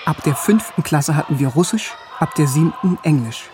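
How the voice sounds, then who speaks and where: An actor playing a bookish man wryly recalling his teenage years in a radio drama, in a measured, reflective way. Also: on the high side, lively intonation, music in the background, lightly acted. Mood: wry, wistful. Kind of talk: radio drama first-person narration and scenes.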